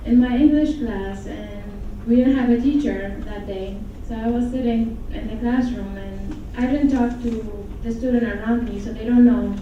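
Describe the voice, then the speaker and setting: A woman's voice: fairly high pitch, fairly even intonation, normal speed, few pauses. Earnest young woman from Iraq, echoey hall. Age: young adult. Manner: earnest, plain storytelling with uneven loudness. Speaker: panel speaker, student. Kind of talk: personal storytelling at public event. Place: large hall at a public event. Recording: echoey hall, distant mic, muffled, noisy.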